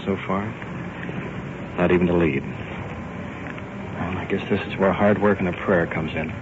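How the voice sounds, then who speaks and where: Deep-voiced American radio reporter, noisy field recording. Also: male voice, steady tone, measured pace. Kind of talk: live on-scene radio narration. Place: outdoors in the field at a search scene. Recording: muffled 1950s field recording, loud noise.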